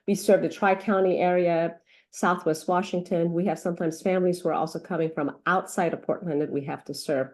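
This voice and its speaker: A woman's voice: on the low side, steady tone, a little fast, darkish tone. A middle-aged woman doctor who grew up in Iran and Africa, English not her first language, talking earnestly with many fillers and restarts in an interview. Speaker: pediatric doctor. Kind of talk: interview conversation.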